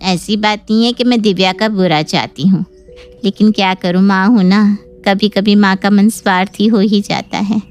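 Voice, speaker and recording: A woman's voice: mid-range voice, fairly even intonation, normal speed, smooth, faint music behind, natural voice. A woman voicing an envious, fretful middle-aged mother with a complaining, wistful manner in a cartoon story.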